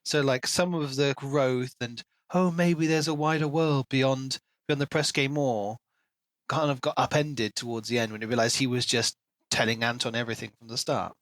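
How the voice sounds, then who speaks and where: A man's voice: medium pitch, fairly even intonation, normal speed, a bit bright, no room sound. A man hosting a podcast, casually discussing a book in an analytical way and explaining his points at length. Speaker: podcast host.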